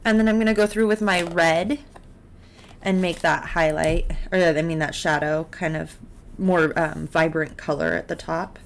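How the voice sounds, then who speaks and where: A woman teaching art in a casual step-by-step drawing tutorial, encouraging and unhurried as she talks through each step while she works. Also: deep voice, steady tone. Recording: clear background noise, drawing sounds.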